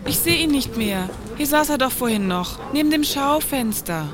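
A woman's voice: sits high, lively intonation, normal speed, noisy background. A woman acting a haughty, self-righteous affluent shopper in a satirical radio play, with an affected, wordy delivery. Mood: agitated regret.

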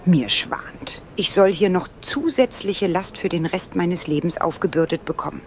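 A woman acting as a prickly female traveller in a radio play, her voice a little low. She is sarcastic and dismissive, recorded over faint ambient noise. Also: moderate pace, natural voice. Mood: sardonic. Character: sardonic, prickly single woman traveller with a dog. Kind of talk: German audio drama dialogue.

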